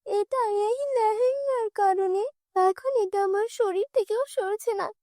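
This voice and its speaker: Woman as a whiny, very high spoiled girl. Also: measured pace, dark tone, no room sound, clean studio sound. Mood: panicked wailing. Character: greedy, spoiled, jealous stepsister girl.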